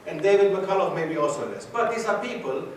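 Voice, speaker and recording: High-voiced man lecturing in an echoey hall. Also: fairly quick, distant mic, slight background noise. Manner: questions the audience, repeats key points for emphasis. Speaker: guest lecturer. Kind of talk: university society lecture.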